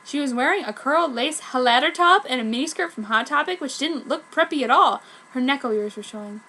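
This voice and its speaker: A woman's voice: high voice, expressive, fairly quick, pushed and bright, poor audio. A woman reading fan fiction aloud as a dramatic narrator.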